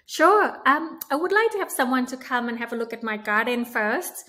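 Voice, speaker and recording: A woman's voice: on the high side, expressive, normal speed, sharp tone. A woman in her thirties giving a friendly promotional presentation as a company presenter, speaking indoors into a device in front of her. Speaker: company presenter demonstrating a product. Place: indoor home room.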